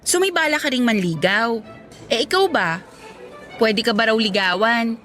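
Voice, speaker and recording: A woman's voice: on the high side, expressive, moderate pace, a bit bright, soft background music. An actress playing a chatty, teasing woman in her twenties in a radio drama. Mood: flirty, prying.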